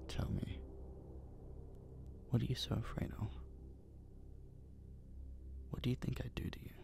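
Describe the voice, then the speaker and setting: An obsessive, possessive masked kidnapper played by a man in an intimate audio drama. He speaks very slowly and half in whispers, with a heavy gravelly creak. His manner is dominant, cooing and teasing, coaxing with open menace. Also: lowish voice, mellow, full character voice. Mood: teasing, menacing.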